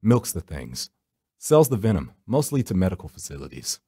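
A man's voice: low-pitched, sing-song, normal speed, dark tone, slightly rough, no echo, studio quality, exaggerated voice. An actor playing a grim, world-weary supernatural rescuer, narrating his hunt in a brooding, solemn, dramatic way. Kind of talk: dramatic scripted first-person narration.

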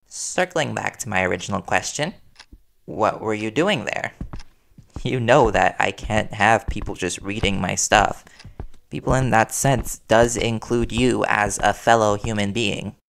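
A man playing a worried reporter boyfriend in an intimate audio roleplay, earnest and halting as he gently confronts his partner. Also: fairly low pitch, creaky. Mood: suspicious, stern.

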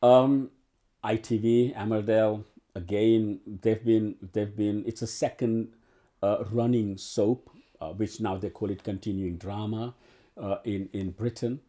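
Dark-voiced older man reminiscing. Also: on the low side, fairly even intonation, measured pace. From Uganda. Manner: rambling, many restarts and fillers. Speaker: actor interviewee. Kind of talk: oral history interview. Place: living room with bookshelves and sofa.

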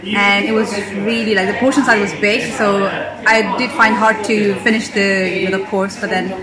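A woman's voice: mid-range voice, level tone, normal speed, a loud crowd, rough recording. A young adult woman vlogging a casual food review in a cafe, chatty and full of fillers. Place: indoor cafe booth.

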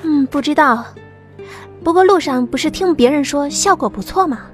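Brief: a woman doing a high-voiced, casual young woman in an audiobook, music under. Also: expressive, normal speed. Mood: offhand.

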